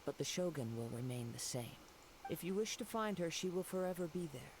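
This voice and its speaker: A gentle, poetic young swordsman in a video game. His voice sits a little high with a creaky grain, and he speaks formally and calmly. Game ambience and noise are clearly heard behind him. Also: a little fast. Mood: calm, wistful. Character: serene young wandering swordsman, poetic and composed.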